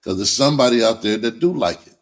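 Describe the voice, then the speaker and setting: A man's voice: mid-range voice, lively intonation, fast. A man talking casually and candidly in a blunt, unfiltered, profane manner. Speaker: entertainer, rapper and writer.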